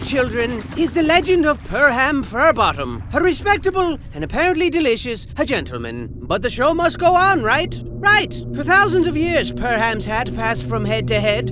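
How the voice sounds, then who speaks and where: High-pitched, theatrical, grouchy cartoon cat storyteller. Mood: grand storytelling. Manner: melodramatic, mock-ominous, addresses listeners as children. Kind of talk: theatrical scripted game cut-scene narration. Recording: music behind the voice. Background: music.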